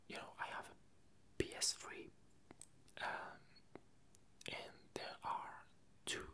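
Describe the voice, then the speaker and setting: A person with a French accent and French as native language, whispering a casual ASMR chat in a hesitant, unhurried way, close to the microphone. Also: sharp tone. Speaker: ASMR video creator.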